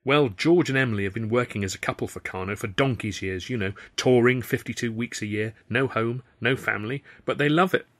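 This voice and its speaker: A man's voice: mid-range voice, a little fast, hardly any pauses, lightly acted. A man voicing a young woman performer in an audiobook, chatty and earnest, with a firm, guarded way of talking.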